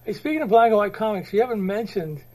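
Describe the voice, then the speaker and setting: A man with a notably high voice co-hosts a casual comics podcast. He asks friendly questions and fills his talk with "you know" and small hesitations. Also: animated, fast, darkish tone. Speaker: podcast co-host. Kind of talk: casual chat about comics.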